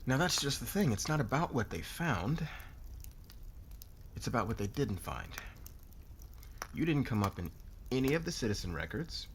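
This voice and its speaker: A man playing a demanding, calculating corporate boss in a scripted ASMR roleplay, with a sardonic, deliberate, commanding manner. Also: medium pitch, sing-song, a little hiss or hum. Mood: cool, interrogating.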